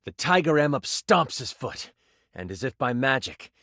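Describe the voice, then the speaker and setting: A man's voice: mid-range voice, very animated, moderate pace, a bit bright, no room sound, clean studio sound. A man playing a playful, dramatic game master who narrates a tabletop fantasy adventure in a video game. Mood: hesitant, then dramatic. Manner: theatrical second-person storytelling with casual asides.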